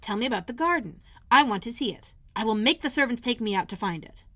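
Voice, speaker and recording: A woman's voice: a little high, expressive, fast, a bit bright, dry recording. A self-pitying rich little boy as a woman reader acts him out gives orders in a plaintive, demanding way. He sounds sickly and imperious. The storybook recording is close and free of room sound.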